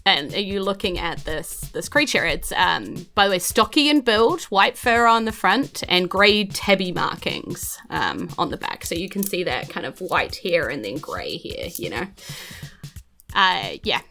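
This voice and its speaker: A woman's voice: lowish voice, very animated, a little slow, pushed and bright, faint music behind. A woman with a New Zealand accent, improvising narration as a game master in a casual, conversational way. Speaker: game master, podcast host.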